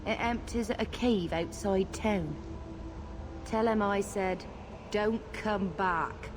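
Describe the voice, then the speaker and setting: Actress as a sardonic, mid-pitched underworld dealer woman. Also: slow pace, music in the background, close to an everyday voice. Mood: cool, sardonic. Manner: clipped, dry, businesslike. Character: cool, sardonic female underworld dealer, unruffled. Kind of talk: fantasy video game voice acting. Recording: clear background ambience noise.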